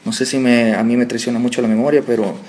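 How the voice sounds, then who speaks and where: A man from El Salvador interviewing a musician, asking relaxed, friendly questions. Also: medium pitch, quick pace. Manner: casual, friendly questions with short backchannels. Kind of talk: conversational interview.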